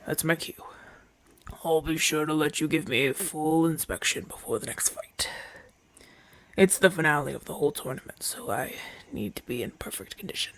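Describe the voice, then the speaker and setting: A man's voice: a little high, normal speed, fairly bright tone. A man playing a proud, impatient, flirtatious champion gladiator in pain, brusque and grumbling, in an ASMR audio roleplay. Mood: reluctantly compliant. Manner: brusque, demanding, grumbling, sighing through pain.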